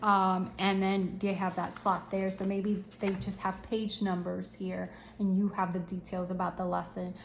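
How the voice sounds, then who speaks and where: A woman hosting a casual planner review, chatty and upbeat with slangy asides, her voice going a little hoarse. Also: medium pitch, level tone, measured pace, hardly any pauses, reverberant, a little hiss or hum. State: losing her voice, slightly hoarse. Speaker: channel host reviewing planners. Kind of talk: casual product review walkthrough.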